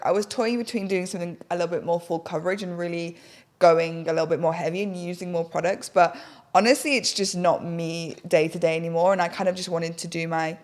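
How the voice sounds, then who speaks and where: A young woman, a little husky today, chatting casually while doing her makeup in a home studio. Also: lowish voice. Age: young adult. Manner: chatty, rambling, self-deprecating. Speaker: podcast host. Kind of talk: casual get-ready-with-me chat. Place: bright home studio, indoors. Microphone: desk podcast mic on an arm.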